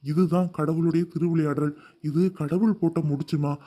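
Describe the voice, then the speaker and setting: A woman's voice: deep voice, steady tone, normal speed, warm tone, voice pushed down. A woman voicing an older male guru who lectures in a preachy, persuasive way. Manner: lecturing, sermon-like.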